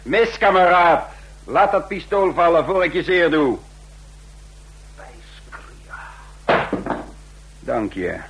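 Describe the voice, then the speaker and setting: A man's voice: on the high side, animated, measured pace, a bit bright, slight background noise, rough recording. An actor playing a defiant trained fighter who recounts his own escape in a radio drama, with a sardonic edge. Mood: sardonic defiance. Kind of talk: radio drama, first-person narration and dialogue.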